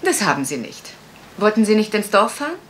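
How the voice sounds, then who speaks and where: A cool, composed gentlewoman played by a woman in a radio mystery. Her delivery is dry and cutting with a very varied melody, on a faintly noisy recording. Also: mid-range voice, big pitch swings, a little fast, lightly acted. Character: cool, dry, self-possessed unmarried gentlewoman. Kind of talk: German radio crime drama acting.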